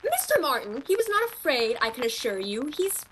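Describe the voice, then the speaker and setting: An actress playing a proud, dreamy young female shop clerk in a radio play, earnest and expressive with a haughty edge. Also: sits high, a little fast, noisy background. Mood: smug, defensive.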